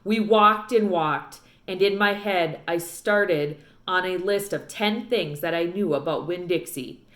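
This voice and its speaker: A woman's voice: lowish voice, measured pace, a bit bright. A woman narrating a children's book aloud expressively, recorded with roomy echo.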